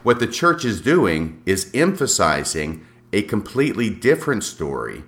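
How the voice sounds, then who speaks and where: A man's voice: fairly low pitch, big pitch swings, moderate pace, a bit bright. A man giving conversational, argumentative commentary as a co-host, speaking emphatically and laying out his case point by point.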